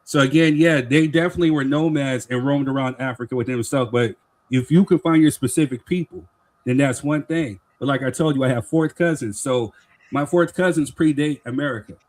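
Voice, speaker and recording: A man's voice: mid-range voice, fairly quick, darkish tone. A man co-hosting a live stream talk show, explaining his view earnestly in a casual debate. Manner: earnest, explains with "you know what I'm saying".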